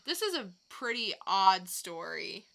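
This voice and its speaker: Slow-paced, bright-voiced woman podcaster. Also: medium pitch, sing-song, creaky. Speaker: podcast co-host. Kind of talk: casual chat, recounting odd news stories.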